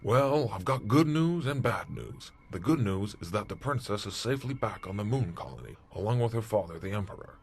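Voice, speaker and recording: A man's voice: fairly low pitch, animated, fairly quick, hardly any pauses, slight background noise. A man playing a businesslike space fleet commander calling in by radio in a retro sci-fi audio drama. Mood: official.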